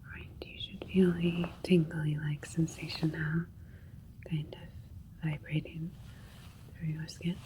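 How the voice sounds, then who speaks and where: A woman playing a gentle, soothing alien doctor in an ASMR roleplay, speaking in a breathy whisper with a clinical manner. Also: low voice, level tone, takes it slowly, pauses often, slight background noise, poor audio.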